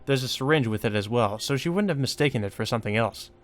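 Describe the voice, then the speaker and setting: A man's voice: medium pitch, lively intonation, fast talker. A man reading visual novel narration aloud and adding casual, informal asides, sometimes with swearing. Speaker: let's play host. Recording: music behind the voice. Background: game music.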